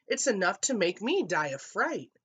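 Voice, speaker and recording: A woman voicing a small, startled and mocking field mouse in a storybook, squeaking and exclaiming. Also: big pitch swings, moderate pace, fairly bright tone, slightly rough. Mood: astonished, mocking.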